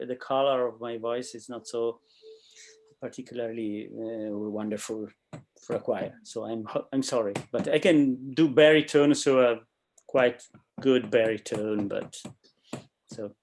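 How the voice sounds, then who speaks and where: A composer being interviewed over a video call, who speaks haltingly in non-native English and often stops to find the next word. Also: male voice, mid-range voice. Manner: halting, searching for words, non-native phrasing. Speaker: guest composer. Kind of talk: casual interview answers.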